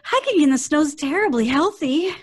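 Sweet, high-voiced dreamy Edna. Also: female voice, lively intonation, normal speed. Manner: earnest, mild, slightly hesitant. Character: sweet, dreamy, wholesome young woman, Edna. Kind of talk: old-time radio drama acting.

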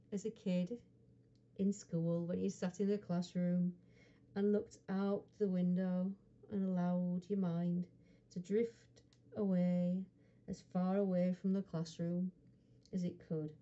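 A woman hypnotherapist guiding a relaxation session in a soothing, unhurried manner, pausing often between short phrases. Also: on the low side, little pitch movement, dark tone, highs cut off. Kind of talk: guided hypnosis relaxation script.

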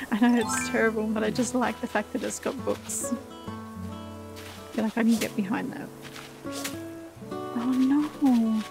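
Chatty woman in her forties, gravelly. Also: a little high, fairly even intonation, slow pace, long pauses, over music. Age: 40s. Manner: chatty, self-deprecating, thinking aloud. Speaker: let's play host. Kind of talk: casual gameplay commentary.

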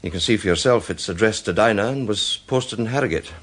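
An actor playing a polite, earnest gentleman who speaks hesitantly and apologetically in a radio drama. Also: on the low side, fairly quick. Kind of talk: British radio drama acting.

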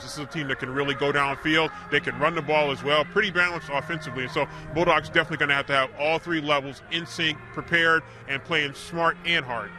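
A middle-aged man giving conversational football analysis as a co-commentator, speaking outdoors into a shared handheld microphone. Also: on the high side. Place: outdoors in an open football stadium.

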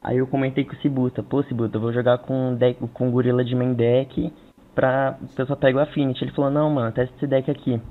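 A man's voice: medium pitch, flat delivery, fast talker. A man with a Brazilian accent casually answering interview questions as a tournament player. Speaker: tournament champion being interviewed. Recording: tinny phone-like voice call.

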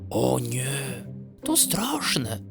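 A kindly storybook fox, put on by a man, lifts his voice high and lilting over music. He sounds reassuring, cheerful and sure of himself. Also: wildly swooping pitch, drawn-out words, vocal fry, full character voice. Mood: concerned. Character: kind, cheerful, resolute male fox, comforting cousin. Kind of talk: children's bedtime story character.

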